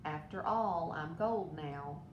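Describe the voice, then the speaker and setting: A woman voicing an excitable, proud young male leaf in a children's storybook, exclaiming earnestly. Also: slow, muffled recording. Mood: earnest, protesting. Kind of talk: children's storybook read aloud. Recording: echoey room with background rumble.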